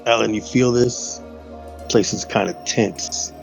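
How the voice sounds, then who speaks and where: A man's voice: on the low side, steady tone, slow, gravelly, over music. A man taking part in a tabletop role-playing game, talking casually as a player.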